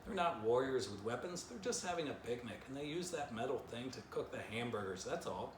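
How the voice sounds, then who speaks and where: A composed, patient dog leader from a children's storybook, voiced by a man, firm yet soothing. The sound is echoey, with clear noise in the background. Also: mid-range voice, animated, brisk, natural voice. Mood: firm, calm. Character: calm, sensible male dog, patient leader.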